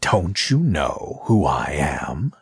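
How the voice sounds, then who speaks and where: A man voicing a large, sly boa constrictor in a children's story, speaking slowly and with amusement, at times in a whispery tone. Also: extremely low pitch, sing-song, breathy edge, dry recording, clean studio sound, voice pushed down, heavily acted. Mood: sly, knowing. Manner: slow, drawn-out, sometimes whispery speech. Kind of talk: children's audiobook character.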